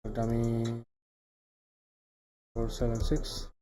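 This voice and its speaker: A man from Bangladesh, thinking aloud in casual live coding commentary, halting and trailing off into quiet asides. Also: medium pitch, monotone, background noise. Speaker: live streamer building software.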